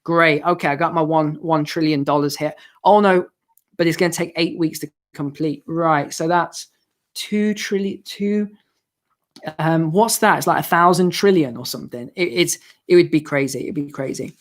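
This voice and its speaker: A man hosting a live finance stream and answering viewer questions, hoarse from losing his voice, explaining in a chatty way with asides. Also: a little high, moderate pace. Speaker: finance channel host.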